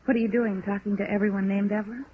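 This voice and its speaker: A woman's voice: medium pitch, fairly even intonation, racing, close to an everyday voice. Wary woman in her thirties female actor, clipped and suspicious, vintage radio serial with hiss. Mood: guarded, irritated. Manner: wary, curt. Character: plain, guarded, curt woman in her thirties. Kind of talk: 1950s radio drama acting. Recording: muffled, noisy vintage radio recording.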